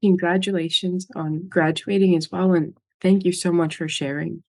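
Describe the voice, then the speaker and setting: A woman's voice: on the low side, fairly even intonation, normal speed, a little mellow. A woman interviewing a guest on a video call, asking polite questions. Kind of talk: virtual coffee chat interview.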